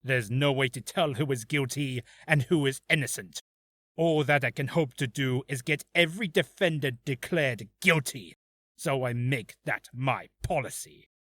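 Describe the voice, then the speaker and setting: A man playing a cold, imperious prosecutor from a video game, measured and contemptuous. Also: medium pitch, a bit bright, no echo, close to an everyday voice. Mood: cold contempt. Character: cold, imperious male prosecutor obsessed with guilty verdicts. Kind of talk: dramatic video game character acting.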